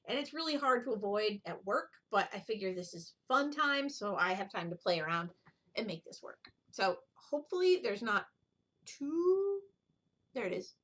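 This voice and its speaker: A middle-aged woman hosts a casual craft vlog in a mid-pitched voice. She talks in a rambling, informal way, showing things as she goes and wandering into side remarks. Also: big pitch swings, moderate pace, fairly bright tone. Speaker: needlework video host. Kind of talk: casual chat, show-and-tell vlog.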